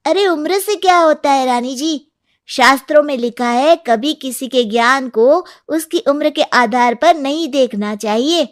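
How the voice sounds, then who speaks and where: A woman's voice: sits high, a little slow, voice pushed up. A woman voicing eager, cheeky young boys in a storybook tale. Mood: eager, earnest.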